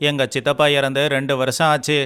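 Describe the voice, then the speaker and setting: A man voicing a brave, shrewd village man in an animated moral story, speaking plainly and with assurance. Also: medium pitch, brisk, clean tone, natural voice. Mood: accusing.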